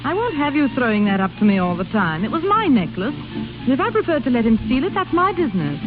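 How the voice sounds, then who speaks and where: An actress playing a proud exiled grand duchess in 1930s radio style, imperious, exasperated and dryly ironic. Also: female voice, a little high, big pitch swings, fast. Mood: indignant. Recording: tinny vintage radio broadcast, music behind.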